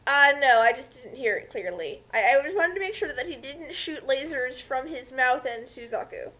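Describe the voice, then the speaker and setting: Female co-commentator, high and animated, sarcastic, takes her time. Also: moderate pace. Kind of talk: casual comic commentary.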